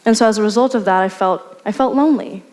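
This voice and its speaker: A young woman from the United States, giving an earnest, measured personal talk as a student speaker. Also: medium pitch, a little mellow. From United States (Portland).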